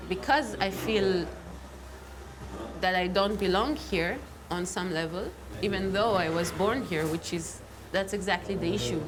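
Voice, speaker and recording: A woman from Israel, talking thoughtfully in a documentary interview, often pausing to search for words. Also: lowish voice, noisy background. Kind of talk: reflective personal testimony.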